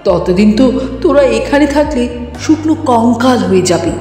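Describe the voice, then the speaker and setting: A woman playing a cruel, power-hungry witch in a fairy-tale cartoon, menacing and taunting with drawn-out words. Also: on the high side, animated, dark tone, natural voice. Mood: mocking, threatening. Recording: echoey hall reverb, faint music behind.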